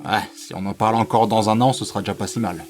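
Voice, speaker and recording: Male actor as grumbling, practical friend: lowish voice, rapid delivery, audio comedy. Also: faint music behind, close to an everyday voice. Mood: wry. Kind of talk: comedic amateur audio drama in French.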